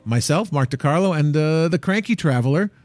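A man's voice: fairly high pitch, lively intonation, fairly quick, a little mellow. A man hosting a radio travel show, upbeat and joking, who often restarts his questions. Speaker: host.

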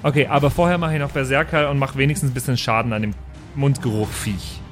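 A man's voice: medium pitch, fairly even intonation, quick pace, soft background music. A man chatting casually as a player in an improvised role-playing game, repeating himself and bargaining insistently.

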